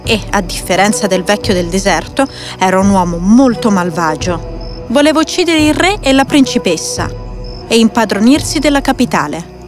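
A woman's voice: medium pitch, lively intonation, moderate pace. A woman narrating a scripted fairy tale for children as a storyteller. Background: faint music.

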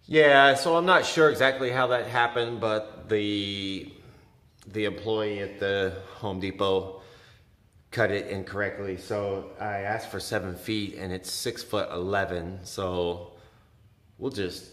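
A man in his forties casually talking through a DIY job to camera, halting as he thinks aloud. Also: fairly low pitch, steady tone, a bit bright. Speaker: DIY presenter. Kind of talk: casual how-to narration to camera. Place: garage, later outside by a house. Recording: roomy echo, faint background noise.

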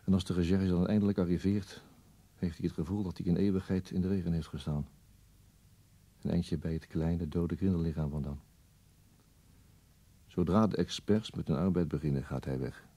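An actor playing a weary, brooding police detective in a radio play monologue, deliberate and resigned, with a husky, gravelly voice. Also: male voice, low voice, fairly even intonation, warm tone, no room sound. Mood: somber.

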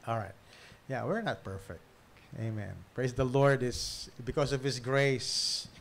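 A middle-aged man with a Filipino accent speaking informally as a pastor, pausing often and punctuating with amens. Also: mid-range voice. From Philippines. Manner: halting, with repeated amens. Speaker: pastor introducing guest speaker. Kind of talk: informal church address. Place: small church hall, at pulpit. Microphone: pulpit microphone.

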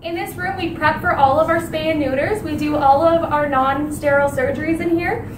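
A woman's voice: sits high, steady tone, fairly quick. A young woman student showing clinic rooms, explaining in informal, run-on sentences. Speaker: student tour guide. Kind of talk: guided facility tour. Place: veterinary teaching clinic rooms. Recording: distant mic in echoey room, noisy.

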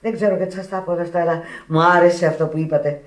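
Actress as a passionate Sicilian widow, roomy sound. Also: female voice, lowish voice, steady tone, close to an everyday voice. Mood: confiding, intense. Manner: emotional, effusive, Italian words mixed in. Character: passionate Sicilian immigrant widow, proud and grieving. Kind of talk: Greek radio theatre acting. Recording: roomy old radio drama recording.

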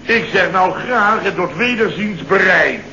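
A man's voice: high voice, animated, measured pace, pushed and bright, exaggerated voice. An actor playing a blustering middle-aged father in a late-sixties Dutch radio comedy, telling stories with theatrical indignation and mimicked quotes. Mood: indignant, amused. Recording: dull, roomy, noisy old radio recording.

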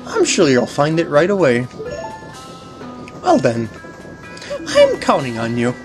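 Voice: medium pitch, huge pitch swings, slow pace, over music, pitched below the performer's own voice. A game commentator voicing an eerily polite, pleading travelling mask salesman from a video game, in a courteous, theatrical manner. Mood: coaxing.

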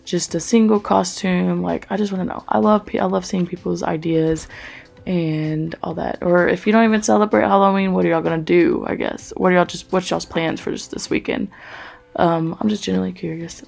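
A woman's voice: fairly low pitch, fairly even intonation, brisk, creaky, a little mellow. A young woman, chatting in a rambling voice-over for her cleaning vlog with lots of fillers. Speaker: cleaning vlogger. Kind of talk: casual voice-over chat.

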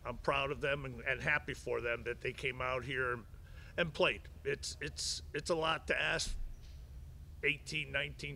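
A middle-aged coach answers reporters at a table microphone in a press room, with a gravelly edge and a bright, forceful tone. He talks in a measured way, often restarting and doubling words, over faint background noise. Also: medium pitch. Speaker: head baseball coach. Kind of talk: postgame press conference answers. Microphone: table mic in front of him.

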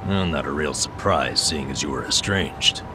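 A man's voice: a bass voice, normal speed, background noise. An actor playing a blunt, wary man in a dramatic video game.

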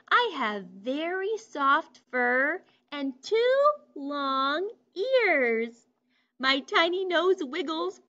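A woman reading aloud softly as a small rabbit with a wiggly nose. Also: high voice, exaggerated voice. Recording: muffled lo-fi recording.

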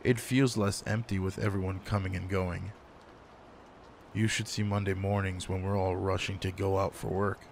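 Man acting as werewolf alpha, gruff yet caring, very low voice, even intonation, matter-of-fact, roleplay audio drama. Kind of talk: intimate roleplay audio drama, addressed to one listener. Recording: noticeable background noise.

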